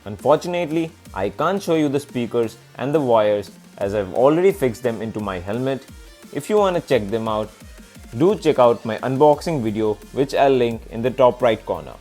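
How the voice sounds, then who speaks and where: A man's voice: mid-range voice, expressive, moderate pace, darkish tone. A man presenting a scripted product review as a video reviewer, in narration style. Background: background music.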